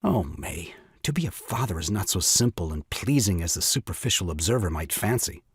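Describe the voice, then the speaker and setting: A man's voice: low-pitched, very animated, fairly quick, breathy edge, no echo, studio quality, exaggerated voice. An actor playing a self-pitying, exasperated new father in an audio farce, with grandiloquent, melodramatic delivery. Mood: melodramatic woe. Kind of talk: theatrical audio drama farce acting.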